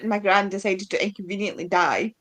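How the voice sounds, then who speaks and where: A woman's voice: mid-range voice, normal speed, fairly bright tone. A woman co-hosting a live stream in casual chat, with an informal, wry way of talking. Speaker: co-host of a book readalong live stream. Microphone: live-stream video call.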